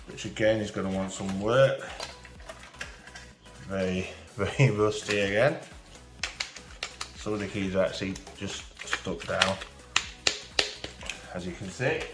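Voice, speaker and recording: A man, hoarse from a cold, chatting casually through a hands-on repair as channel host. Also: on the low side, takes it slowly, pauses often. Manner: relaxed, chatty, talks through each step. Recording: roomy echo with faint music.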